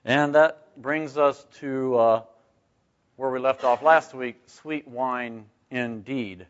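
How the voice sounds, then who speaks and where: A man's voice: mid-range voice, takes it slowly. A man teaching scripture informally to a group as a Bible study leader, conversational and often putting questions to his listeners. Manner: conversational, rhetorical questions, asks "right?". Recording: dull, muffled lo-fi recording.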